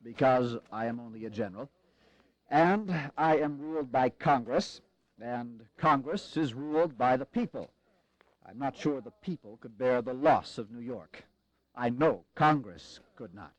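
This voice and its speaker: A man playing a pragmatic, weary army commander in a historical audio drama, speaking in a measured, grave and formal way with wry resolve. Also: medium pitch, expressive, dry recording, natural voice, rough recording. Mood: resigned, explaining.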